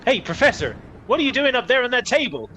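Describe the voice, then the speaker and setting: A man's voice: very high-pitched, sing-song, quick pace, pushed and bright, a little hiss or hum, heavily acted. A man playing an earnest, clueless young boyfriend in a spoof B-movie radio comedy, corny and gee-whiz. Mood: eager.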